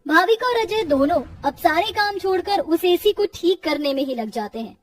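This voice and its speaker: A woman's voice: very high-pitched, animated, moderate pace, far from the mic. A woman narrator reading a scripted cartoon story.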